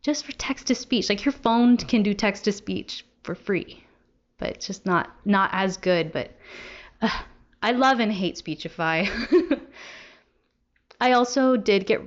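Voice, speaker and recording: Young woman doing casual bookish vlog chat, normal pitch, kinda lo-fi muffled audio. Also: slow, long pauses. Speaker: book vlogger.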